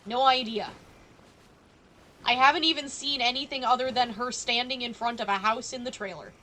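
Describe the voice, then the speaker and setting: A woman's voice: fairly high pitch, fairly even intonation, normal speed, bright, forceful tone, a little hiss or hum. A woman doing casual live-stream game commentary in a playful, mock-regal manner. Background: game music.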